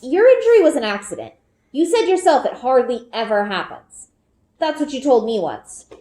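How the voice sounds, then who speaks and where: A woman's voice: sits high, sing-song, moderate pace, mellow, pitched above the performer's own voice. A woman voicing an eager, stubborn young girl in a children's storybook, speaking earnestly and insistently. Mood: defensive. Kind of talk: children's storybook read-aloud. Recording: roomy indoor echo.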